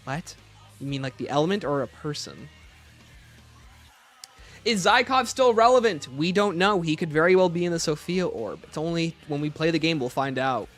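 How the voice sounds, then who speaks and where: Animated man hosting a casual livestream. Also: on the high side, normal speed, soft background music. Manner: informal, thinks aloud. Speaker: livestream host. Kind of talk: casual livestream Q&A, reading out and answering chat questions.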